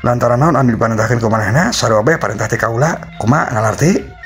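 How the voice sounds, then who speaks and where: A man voicing a bold, firm royal traveller in a folktale, brisk and emphatic. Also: mid-range voice, a bit bright, pitched above the performer's own voice, close to an everyday voice. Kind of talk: Sundanese folktale storytelling. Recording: music behind the voice.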